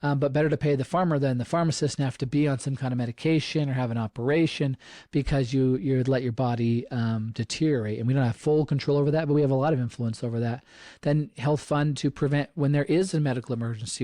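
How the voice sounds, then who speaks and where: Earnest man talking money, mellow and close. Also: medium pitch, fairly even intonation, quick pace, no echo. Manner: earnest, repeats phrases and restarts often. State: slightly froggy voice. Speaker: podcast host. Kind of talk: casual advice talk.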